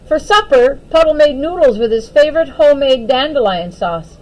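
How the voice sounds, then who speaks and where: High-pitched, very animated woman reading a storybook. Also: normal speed, rough recording. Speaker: storyteller reading aloud to children. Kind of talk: expressive picture-book narration.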